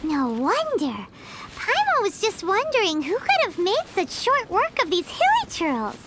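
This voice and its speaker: Small floating game companion, high squeaky voice, sing-song swoops. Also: female voice, huge pitch swings, a little slow, very noisy, exaggerated voice. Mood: curious. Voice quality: high, bubbly, refers to herself by name. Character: tiny floating female companion, chirpy and excitable. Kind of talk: video game voice acting. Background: game music.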